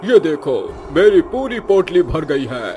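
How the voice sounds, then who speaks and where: High-pitched ghost nomad father played by a man. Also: very animated, a little slow, warm tone, highs cut off, a little hiss or hum. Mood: pleased. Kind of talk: dramatised children's story. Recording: music under the voice. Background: music.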